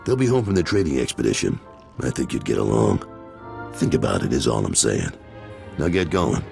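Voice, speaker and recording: Gruff older crime boss for a game: blunt, paternal, heavy gravel, music behind. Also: male voice, sing-song, brisk, warm tone, heavily acted. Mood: approving. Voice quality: very deep, gravelly. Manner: blunt, tough-talking, paternal. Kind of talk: video game voice acting.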